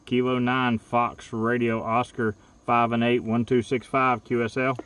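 Man, 40s, outdoor radio operator; quick clipped call-sign delivery, mic held a bit off. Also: mid-range voice, level tone, a bit bright, far from the mic, a little hiss or hum. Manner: brisk, repetitive call-sign reading. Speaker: ham radio park activator. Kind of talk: rapid radio contact exchange. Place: outdoors in a park. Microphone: handheld radio microphone.

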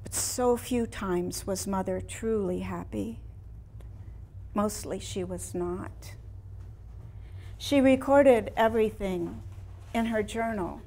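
A woman in her sixties playing an ailing, reflective elderly woman painter in a staged dramatic monologue, with theatrical, measured delivery and long pauses. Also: medium pitch, vocal fry, dark tone, slight background noise. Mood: regretful. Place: small indoor room before a seated audience.

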